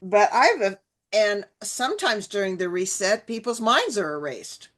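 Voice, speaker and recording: A woman's voice: medium pitch, big pitch swings, unhurried, fairly bright tone. A woman hosting an interview, asking long, winding questions full of "like" and restarts. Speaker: podcast host.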